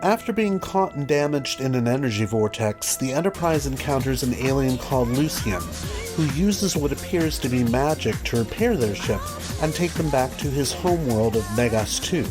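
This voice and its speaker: A man's voice: mid-range voice, expressive, normal speed. A man presenting a scripted video review as host, with wry, dry asides. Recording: music behind the voice. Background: background music.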